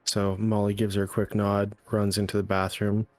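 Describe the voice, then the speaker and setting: A man narrating as game master in a halting, hesitant way. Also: fairly low pitch, completely flat delivery, soft background music. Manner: halting, full of ums and uhs.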